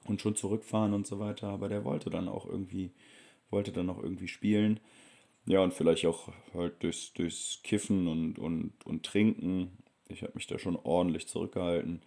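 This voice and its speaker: A man's voice: sits low, vocal fry, mellow. A young man telling a travel story in a casual, rambling way, full of fillers and restarts. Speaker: vlogger.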